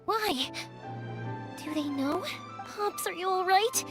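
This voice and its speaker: A woman voicing a kind, upbeat young woman in an anime game, expressive and caring. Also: very high-pitched, slow pace, full character voice. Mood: worried, urgent. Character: kind upbeat young woman, firework maker, looking after friends. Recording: game audio with faint music.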